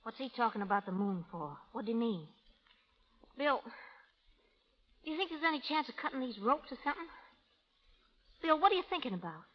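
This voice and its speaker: A woman's voice: fairly high pitch, sing-song, a little fast, long pauses. An actress playing a brash, lovelorn young frontier woman in an old radio drama, with folksy, unschooled Western speech. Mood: hopeful, anxious. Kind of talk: 1930s radio drama acting. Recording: muffled, noisy old radio recording.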